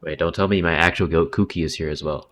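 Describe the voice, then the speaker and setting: A woman's voice: a bass voice, steady tone, fast, a bit bright. A woman giving casual, slangy, joking livestream commentary over a game, with some swearing. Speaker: game streamer. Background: faint game music.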